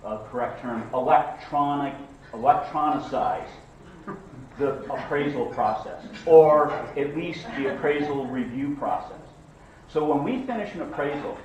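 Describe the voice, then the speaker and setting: A middle-aged man giving a loud, conversational, self-deprecating talk as an appraiser at a conference breakfast. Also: mid-range voice, slight background noise. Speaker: real estate appraiser speaker. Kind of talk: conference presentation. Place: hotel conference room, indoors. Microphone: far camera across the room. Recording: echoey room from far camera.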